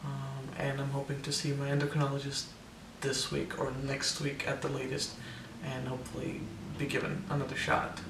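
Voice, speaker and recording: Young man vlogger, hesitant and casual, flat gravelly delivery with voice cracks, roomy distant recording. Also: medium pitch, moderate pace, hardly any pauses, over music. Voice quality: voice cracks, air breaking through on some notes. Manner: hesitant, many ums and restarts. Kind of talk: casual personal update to camera.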